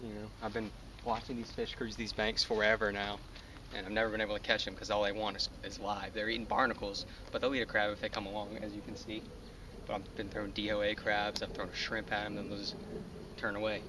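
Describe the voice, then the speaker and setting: A young man, excitedly talking to the camera as a fishing vlogger while outdoors on a pond bank, often breathless and laughing. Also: on the low side, quick pace. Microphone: camera on a tripod some distance away. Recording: distant camera mic with outdoor noise.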